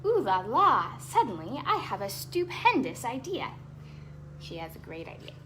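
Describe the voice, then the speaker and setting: Woman with lively, high-pitched storytime delivery. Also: moderate pace, slight background noise. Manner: expressive, explaining words simply to young children. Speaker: ballet dancer hosting children's storytime. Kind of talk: storytime read-aloud with chatty asides for children.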